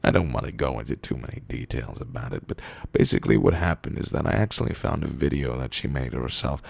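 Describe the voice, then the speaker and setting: Man, extremely low voice, heavy vocal fry, deadpan storytelling, pauses between phrases, close dry sound. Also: no room sound. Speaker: reader of internet copypasta posts. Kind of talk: deadpan comedic reading of written posts.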